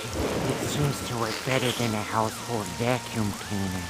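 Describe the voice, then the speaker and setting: A man's voice: lowish voice, measured pace, very noisy, close to an everyday voice. A man voicing a gloomy, deferential, ponderous manservant of a haunted house in a cartoon game. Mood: formal. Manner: ponderous, formal. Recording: loud music behind the voice.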